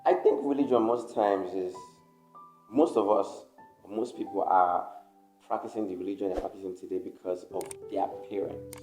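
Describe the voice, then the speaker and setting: A man co-hosting a casual podcast chat, agreeable and hesitant, often repeating himself and filling pauses. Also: low-pitched, fairly even intonation, warm tone, faint music behind. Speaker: podcast co-host. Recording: roomy echo.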